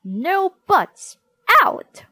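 Woman acting a loud, bossy schoolteacher barking orders. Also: high-pitched, sing-song, measured pace, dry recording, voice pushed up, heavily acted. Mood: furious. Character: stern, bossy woman games teacher, icy and furious. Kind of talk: children's audiobook character voice.

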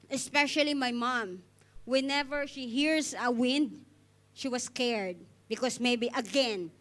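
A woman's voice: high-pitched, a bit bright. A young woman with a Filipino accent giving an earnest devotional talk and prayer to a congregation on a handheld microphone. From Philippines. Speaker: church speaker leading offering. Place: indoor church stage.

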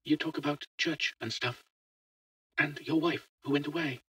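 A man's voice: fairly high pitch, wildly swooping pitch, normal speed, vocal fry, sharp tone, slightly rough, no echo, recorded from a distance, pitched above the performer's own voice, full character voice. A man voicing a fearless, chatty eleven-year-old boy who talks simply and matter-of-factly in a story. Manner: simple, childlike, matter-of-fact. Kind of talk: audio short story dialogue.

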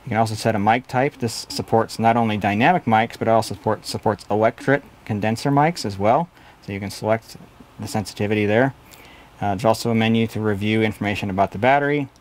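A man's voice: fairly low pitch, a little hiss or hum. A man in his forties giving an explanatory product review at a desk in a small studio office. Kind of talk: explanatory gear review to camera.

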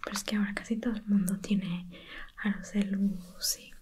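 A woman speaking in a breathy whisper, gently soothing the listener as a spa beautician in an ASMR roleplay. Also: mid-range voice, little pitch movement, normal speed, a little hiss or hum. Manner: gentle, soothing, intimate, reassuring. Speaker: ASMR video creator playing a spa beautician.

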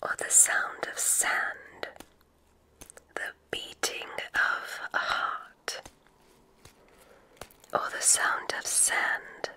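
A woman playing a gentle witch examiner in an ASMR roleplay, whispering in a soothing, deliberate, mysterious way. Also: heavily acted, rough recording. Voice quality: breathy, unvoiced whisper.

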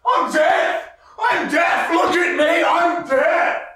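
A man's voice: extremely high pitch, slow, some chatter behind, exaggerated voice. A man playing an unhinged, abusive, demanding partner in a prank call comedy, screaming crude orders. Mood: enraged, demanding. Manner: screaming, foul-mouthed, unhinged. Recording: shouting from off mic, echoey, noisy.

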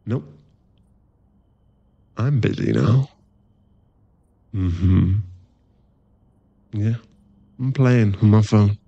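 A laddish werewolf boyfriend played by a man in an ASMR roleplay, teasing and affectionate. His voice sits on the low side and takes its time, recorded close and dry. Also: lively intonation, extremely slow, creaky, pauses often, warm tone, no room sound, muffled recording, heavily acted. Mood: playful teasing. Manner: casual, laddish, teasing, drops into murmured asides.

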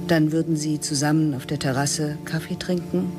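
A man narrating a radio play in a calm, measured reading voice. His voice sits a bit high for a man, with faint music underneath. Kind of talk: scripted audiobook narration.

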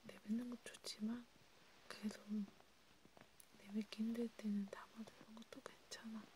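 A woman whispering softly in a casual, hesitant, gently caring chat for an ASMR roleplay. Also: a little high, little pitch movement, normal speed, background noise. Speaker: ASMR video creator.

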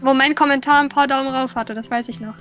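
A young woman with a high, bright voice co-hosts a game session. She talks casually and teasingly, with music behind her. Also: fairly even intonation, quick pace. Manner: playful, teasing. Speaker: Let's Play co-host. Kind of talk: casual joking gameplay chat.

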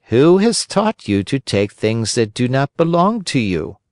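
A man's voice: medium pitch, very animated, a little slow, dry recording, studio quality. Man as a tiny moralizing glowworm lady. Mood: gently reproving.

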